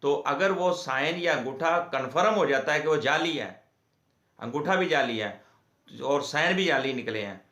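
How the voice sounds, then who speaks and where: A man with a Pakistani accent giving an informal legal lecture to viewers in a halting, repetitive way. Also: a little high, expressive, pushed and bright. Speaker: legal explainer, host of a law channel. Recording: echoey, reverberant room sound.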